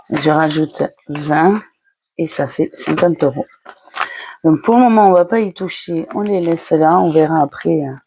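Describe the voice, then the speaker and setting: Casting: woman, budgeting vlogger. Unhurried, halting step-by-step delivery with some vocal fry, light background noise. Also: on the low side, a little mellow, rough recording. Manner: talks herself through each step in short, halting phrases. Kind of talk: casual step-by-step narration while handling cash envelopes.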